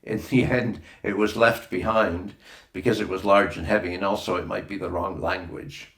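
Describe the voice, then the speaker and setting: A man's voice: sits low, fairly even intonation, normal speed, fairly bright tone. A man reading a children's adventure novel aloud as scripted narration, with a roomy echo on the recording. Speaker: audiobook reader.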